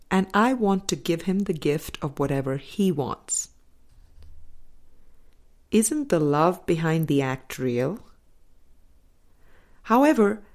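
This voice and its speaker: A woman reading a spiritual book aloud as scripted narration, in a measured, deliberate way with pauses between phrases. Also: on the low side, darkish tone. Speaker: audiobook reader.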